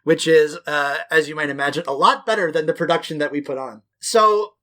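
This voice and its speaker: A man's voice: fairly high pitch, fairly quick, a bit bright. A man in his thirties from West Quebec, co-hosting a chatty podcast. His voice sits a little above the usual male range, and he talks in long, looping, profane anecdotes, often at his own expense. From West Quebec, Canada. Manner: rambling, profane, self-deprecating storytelling. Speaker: podcast co-host. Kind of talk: casual podcast chat.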